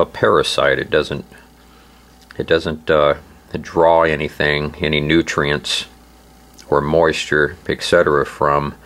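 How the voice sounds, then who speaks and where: A man with a hoarse, laryngitis-affected voice giving an informal instructional narration, halting and pausing often as he explains. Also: a bass voice, a bit bright. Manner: halting, hesitant delivery with frequent pauses. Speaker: host of a survival channel.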